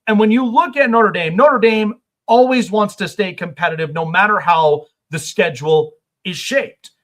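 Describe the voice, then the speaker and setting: A man's voice: sits high, moderate pace, a bit bright. A man hosting a sports talk show, asking long rambling questions in a casual chat. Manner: rambling questions with many fillers.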